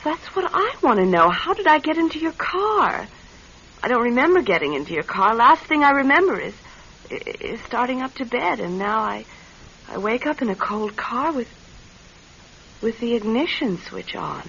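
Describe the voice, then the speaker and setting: A woman playing a weary, languid, groggy wife in a radio drama, with a creaky voice. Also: a little high, sing-song, moderate pace, fairly bright tone, exaggerated voice. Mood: puzzled, groggy. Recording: dull, hissy radio recording.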